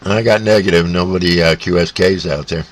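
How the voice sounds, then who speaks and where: A North American man chatting casually as a CB radio operator, in a halting, laid-back way. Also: fairly even intonation. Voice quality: deep. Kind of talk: casual two-way radio chat. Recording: faint static and radio hiss.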